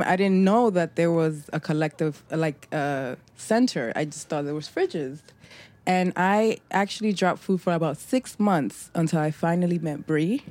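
A woman raised in the Bronx, a mutual aid organizer, talking as a guest in a casual interview, relaxed and reflective with lots of "like" and "um". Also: lowish voice. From New York, raised in the Bronx.

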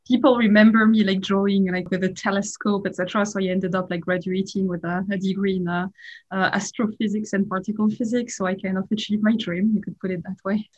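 French-accented woman, flat, lo-fi sound. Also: medium pitch, fairly quick. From France. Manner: rambling, heavy use of "like" and "uh". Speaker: guest, startup founder. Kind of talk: casual interview talk. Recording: dull, lo-fi sound.

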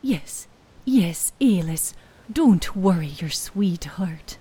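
A woman voicing an older, guilt-ridden grandmother in a fantasy story, pleading and tender in a hoarse, breathy voice. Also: on the low side, animated, slow pace, mellow, full character voice. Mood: soothing.

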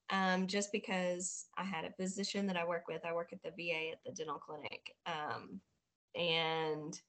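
Slow-talking bright-voiced woman in her forties. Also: mid-range voice. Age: 40s. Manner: unhurried, reflective, many pauses and fillers. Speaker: podcast guest. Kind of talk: casual chat.

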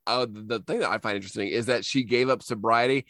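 A man's voice: medium pitch, fast talker, fairly bright tone, dry recording, clean studio sound. A man co-hosting a podcast in loose, wry, joking conversation. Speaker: podcast co-host. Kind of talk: casual joking podcast banter.

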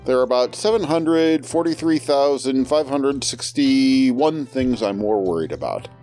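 A man's voice: mid-range voice, a little slow, a little mellow, faint music behind, close to an everyday voice. A man playing a precise, long-suffering academic type in an audio drama, with a dry delivery. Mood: dry, exasperated.

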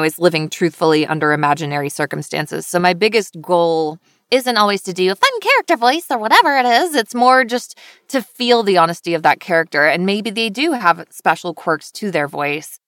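A woman with a voice on the low side talks in an animated, chatty way, laughing often. The sound is dry and close, with no room around it. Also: dry recording. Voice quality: lower voice for a woman. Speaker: voice actor. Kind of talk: casual conversation.

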